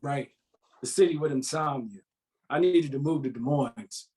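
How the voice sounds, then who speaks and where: A man's voice: medium pitch, normal speed, darkish tone, lightly acted. A man reading the part of a weary, sardonic police detective in a crime thriller script, with a wry, grumbling manner.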